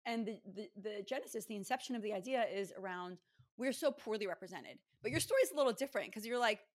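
Brisk woman hosting a podcast. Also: medium pitch. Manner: quick, lively questions with laughs. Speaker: podcast host. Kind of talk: casual interview conversation.

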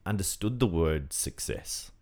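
A man co-hosting a podcast, thinking out loud in casual chat with many restarts. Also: deep voice, expressive, measured pace. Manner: thinking aloud, frequent restarts, agreeable. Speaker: podcast co-host.

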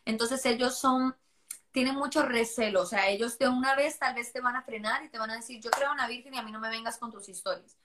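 A woman's voice: a little high, a little fast, fairly bright tone. A woman from Costa Rica with a mixed Costa Rican and Spanish accent, chatting casually and animatedly about her missionary work. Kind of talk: casual conversation.

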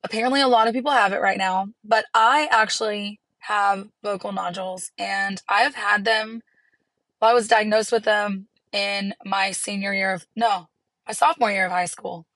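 A hoarse woman, hosting her podcast from a parked car in an earnest, encouraging way. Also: mid-range voice, fairly even intonation, moderate pace, a bit bright, dry recording. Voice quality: raspy. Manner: earnest, encouraging, rambling with restarts. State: hoarse from laryngitis. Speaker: podcast host. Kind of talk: casual solo podcast talk.

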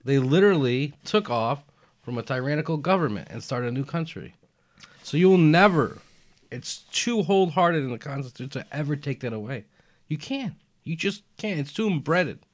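A young man in casual conversation, emphatic and animated, dropping "man" and "dude" as he argues his point. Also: fairly high pitch, moderate pace. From United States, family from Albania. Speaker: podcast guest. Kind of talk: casual chat.

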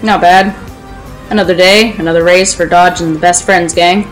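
A woman reading a comic audio play narration in a dry, deadpan way. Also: lowish voice, moderate pace, soft background music, poor audio.

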